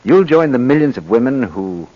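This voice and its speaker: A man's voice: medium pitch, very animated, normal speed, over music. A man announcing in a scripted 1940s radio advertising style, on a muffled old broadcast recording.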